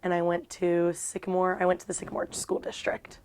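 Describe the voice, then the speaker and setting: A woman's voice: lowish voice, completely flat delivery, brisk, noisy background. A young woman from Ohio, a university student, recalling school in a casual, slightly hesitant way. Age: young adult.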